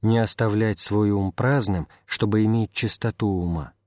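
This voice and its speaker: Man, audiobook reader, spiritual memoir, calm measured delivery, deep-ish voice, dry close recording. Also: no room sound.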